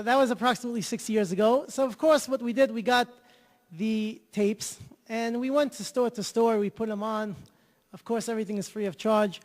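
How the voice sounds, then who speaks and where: A man's voice: extremely high pitch, long reverb tail. A man telling an enthusiastic story as a guest on an interview show, often repeating himself. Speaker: guest, charity organizer.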